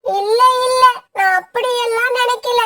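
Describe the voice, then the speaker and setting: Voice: very high-pitched, steady tone, a little slow, fairly bright tone, no echo, studio quality, recorded from a distance. A performer voicing a small injured female sparrow in a cartoon, speaking in a pleading, tearful way. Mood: hurt. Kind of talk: cartoon voice acting.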